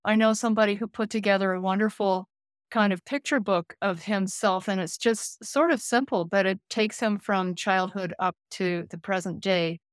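A woman in her late sixties, a care manager and counselor, speaking gently and thoughtfully in an interview, often pausing to find her words. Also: medium pitch, fairly even intonation, no echo, clean studio sound. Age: 60s. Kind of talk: reflective interview conversation.

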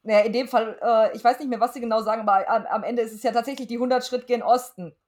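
A woman's voice: on the high side, steady tone, quick pace, darkish tone. A woman co-hosting a podcast, explaining things informally in casual review chat. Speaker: podcast co-host.

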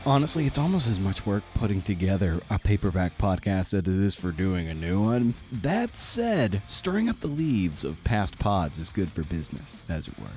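A man's voice: low-pitched, sing-song, normal speed, hardly any pauses, a little mellow, soft background music. A man hosting his podcast, chatting casually and wryly through plugs and the episode intro with self-deprecating asides. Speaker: podcast host.

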